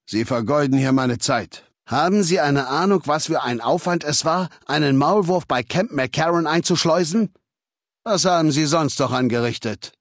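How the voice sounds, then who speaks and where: An actor playing a cold, arrogant warlord dictator in a video game, speaking with condescending, menacing authority. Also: male voice, a little high, no echo. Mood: cold, threatening. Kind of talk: video game dialogue acting. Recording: dry studio recording.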